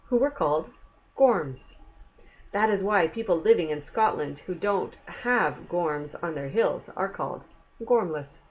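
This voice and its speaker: A woman's voice: medium pitch, rough recording. A woman reading a children's story aloud, expressively, with chatty asides and questions to the young listener. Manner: expressive, pausing to explain and ask the listener questions.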